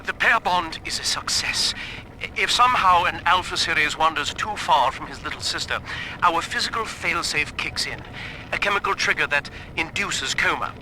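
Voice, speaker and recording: Formal scientist, highish pitch, very bright crisp edge, precise, self-satisfied, game log. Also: male voice, moderate pace. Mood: satisfied, clinical. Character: proud, clinical male scientist. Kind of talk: scientific audio-log report. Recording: faintly noisy audio log.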